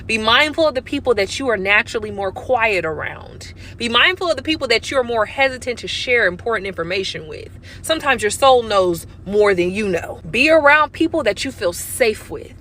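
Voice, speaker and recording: Woman, higher voice, insistent sincere advice, faint ambience. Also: lively intonation, normal speed, few pauses, pushed and bright, slight background noise. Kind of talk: motivational advice to camera.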